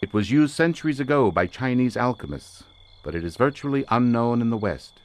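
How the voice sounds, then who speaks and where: An actor playing an obsessive, eccentric occult researcher in a radio suspense drama, lecturing in grand theatrical phrases. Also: male voice, medium pitch, lively intonation, brisk, lightly acted. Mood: visionary fervor. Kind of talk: old-time radio suspense drama acting.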